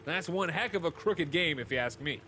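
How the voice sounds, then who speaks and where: Video game sidekick: sly, jovial, higher-set and animated, folksy slang, music underneath. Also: male voice, moderate pace. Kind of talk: scripted video game character dialogue.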